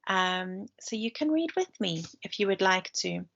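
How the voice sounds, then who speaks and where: A woman's voice: medium pitch, expressive, measured pace, vocal fry, a bit bright. A woman teacher doing a read-aloud story time for children, chatting and explaining the pictures as she goes, over a computer microphone. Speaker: primary school teacher. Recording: dull lo-fi screen-share recording.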